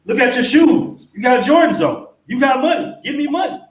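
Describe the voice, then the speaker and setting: A man's voice: very high voice, lively intonation, a little fast. Slangy man in an echoey room. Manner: repetitive, slangy, acts out quoted dialogue. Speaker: panelist on a live open panel. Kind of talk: casual chat.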